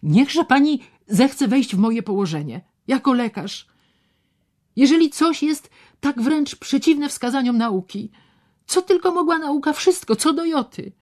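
A woman voicing a blustering, pompous male doctor who talks loudly and with exasperation. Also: sits high, lively intonation, a little slow, voice pushed up. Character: blustering loud middle-aged male doctor.

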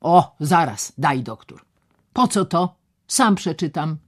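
A woman voicing a blustering, pompous male doctor who talks loudly and with exasperation. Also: low-pitched, animated, slow, heavily acted. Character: blustering loud middle-aged male doctor.

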